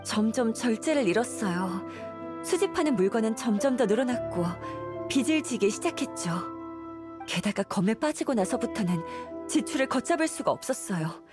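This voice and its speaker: A woman's voice: fairly high pitch, expressive, normal speed, music in the background, close to an everyday voice. An actress playing a weary, apologetic wife and mother burdened by debt in a video game, speaking politely and plaintively. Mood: reproachful. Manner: polite, plaintive, earnest storytelling.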